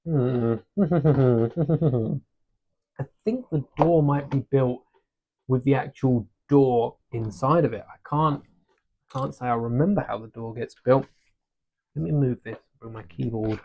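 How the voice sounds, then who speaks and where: Man, relaxed live-stream chatter, mid pitch, slightly muffled stream audio. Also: takes it slowly. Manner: relaxed, chatty, self-deprecating, thinks aloud. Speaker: livestream host building a brick set. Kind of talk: casual live-stream chat. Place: home desk during a livestream. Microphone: desk microphone.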